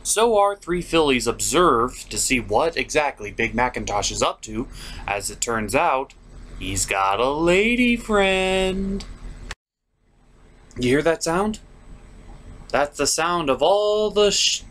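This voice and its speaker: A man's voice: a little high, big pitch swings, slow, fairly bright tone, a little hiss or hum. A man hosting a jokey, scripted cartoon recap in a theatrical, sarcastic way. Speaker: host of a cartoon episode review.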